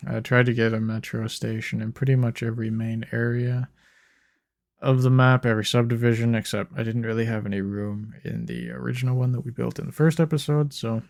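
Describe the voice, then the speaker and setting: A young man giving laid-back gameplay commentary in a flat, monotone ramble, his voice a bit hoarse and rough. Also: dry recording, clean studio sound. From Canada. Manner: monotone, low-key rambling. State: slightly hoarse. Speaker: gaming YouTuber. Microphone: desk microphone.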